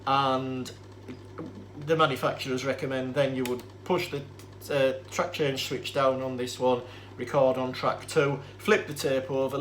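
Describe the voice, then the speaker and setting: A man with a mid-pitched voice talks through a hands-on demonstration with faint noise behind him. He explains in an informal, matter-of-fact way, rambling a little and hesitating as he goes. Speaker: presenter demonstrating vintage tape recorders. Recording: clear steady background noise.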